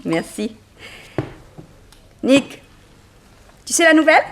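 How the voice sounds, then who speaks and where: A vivacious lady journalist in an old French radio play. Her voice is fairly high, with a breezy, playful, worldly manner. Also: very animated, slow, a little hiss or hum, exaggerated voice. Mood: eager. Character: vivacious, worldly young woman journalist, detective's sweetheart. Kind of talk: 1960s French radio drama acting.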